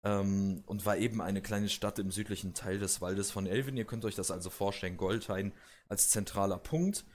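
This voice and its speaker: A man's voice: sits low, steady tone, moderate pace, darkish tone, a little hiss or hum. A man with a cold, casually explaining video game lore as host, with many hesitations and restarts. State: has a cold.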